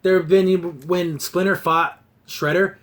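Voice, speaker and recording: A man's voice: high-pitched, fairly even intonation, unhurried. A man hosting a casual review podcast about cartoons, talking in an exasperated, mocking way. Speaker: podcast host.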